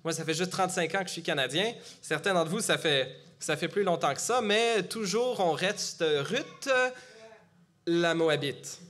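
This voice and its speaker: Middle-aged man, Quebec French accent, preaching in church, chatty delivery, slightly high pitch. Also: unhurried, a bit bright. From Gatineau, Quebec. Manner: conversational, with frequent fillers and restarts. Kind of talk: sermon.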